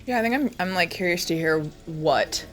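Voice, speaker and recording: A woman's voice: fairly low pitch, lively intonation, normal speed, music in the background. A woman chatting casually as a player in a tabletop game, talking through her choices. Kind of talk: casual chat.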